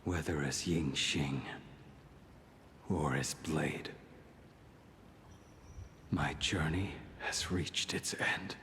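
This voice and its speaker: World-weary game warrior, male, very slow and deadpan, rock-bottom pitch, faint music. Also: slight background noise, heavily acted. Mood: resigned, solemn. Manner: terse, grave, deadpan. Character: stoic, world-weary ageless swordsman resigned to his fate. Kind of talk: video game voice acting.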